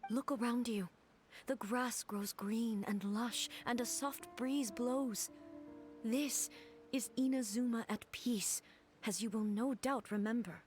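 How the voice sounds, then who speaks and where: A woman playing a solemn, calm divine ruler in a video game cutscene, speaking in a measured, grave, soft voice. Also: a little high, soft background music. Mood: soothing.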